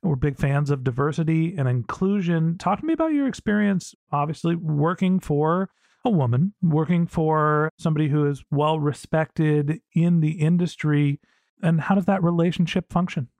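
A man with a somewhat high voice hosts an interview podcast. He sounds close and dry, with no room echo, as he sets up and asks structured questions in conversational language. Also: normal speed, darkish tone.

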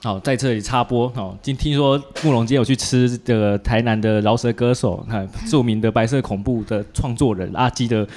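A man's voice: medium pitch, a little fast, hardly any pauses, a little mellow, faint music behind. A man with a Taiwanese Mandarin accent hosting a casual chat, joking and colloquial, with lots of little interjections. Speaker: podcast host interviewing a guest.

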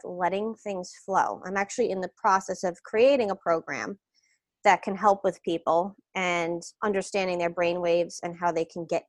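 A woman's voice: medium pitch, no room sound. Ny woman talking easy, lots of ums, dry close sound. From New York. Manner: relaxed, meandering, hesitant with fillers. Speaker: guest, wellness coach. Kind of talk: casual interview.

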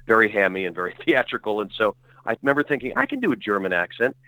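A middle-aged actor speaking as a podcast guest, telling long stories in a rambling, self-correcting way full of fillers. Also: male voice, fairly low pitch, animated, quick pace, sharp tone, no echo, poor audio. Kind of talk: relaxed conversational storytelling.

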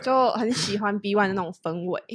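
A woman's voice: medium pitch, a little fast. A woman with a Taiwanese Mandarin accent chatting casually and playfully as an assistant co-host on a podcast. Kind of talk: casual chat.

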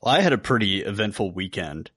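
A man's voice: on the low side, very animated, a little fast, fairly bright tone, slightly rough, no room sound, clean studio sound. A man co-hosting a podcast in casual conversation. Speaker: podcast co-host. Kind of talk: casual chat.

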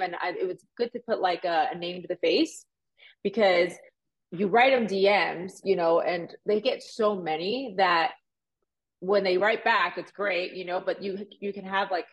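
A woman's voice: medium pitch, low-quality recording. A woman in her thirties, born in Puerto Rico and raised in Miami, talking casually as a podcast guest and telling stories full of "like" and "you know". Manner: chatty, animated, many fillers like "like" and "you know". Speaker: real estate agent, guest. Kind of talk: casual interview storytelling.

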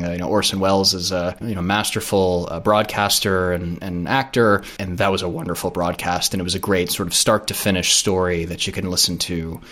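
A man with a very deep voice speaks as a guest in a casual podcast interview. He explains things thoughtfully, with hesitations and repeated words as he finds his phrasing. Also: fairly bright tone. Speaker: podcast guest, audio drama creator.

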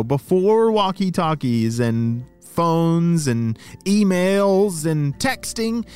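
A man narrates a children's story with a very animated, fairly high voice. He explains things simply, speaking straight to young listeners over soft background music. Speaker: children's story podcast host.

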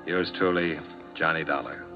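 An actor playing a world-weary insurance investigator in a 1950s radio detective drama, narrating tersely and dryly. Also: male voice, very deep voice, fairly even intonation, moderate pace. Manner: terse hard-boiled narration, dry and tired. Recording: dull old radio transcription with music.

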